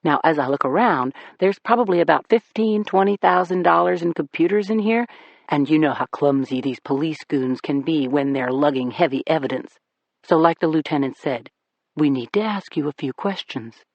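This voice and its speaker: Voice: medium pitch, lively intonation, moderate pace, gravelly, dry recording, dull audio. A narrator voicing a blunt tough male federal cop. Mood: threatening. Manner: blunt, menacing, dry. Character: tough male ex-prosecutor cop, relishes a fight.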